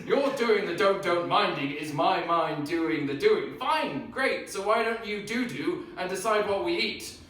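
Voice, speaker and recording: A man's voice, fairly high for a man, tears through an intense, rambling comic routine full of tongue-twisting wordplay. He switches back and forth to play both sides of a squabble. The sound bounces around a very reverberant venue, picked up from some distance by the mic. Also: expressive, measured pace, hardly any pauses, a bit bright. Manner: acts out both sides of an argument. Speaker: spoken-word performer. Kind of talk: live spoken-word comedy set. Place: live event venue on stage. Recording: very echoey room, mic at a distance.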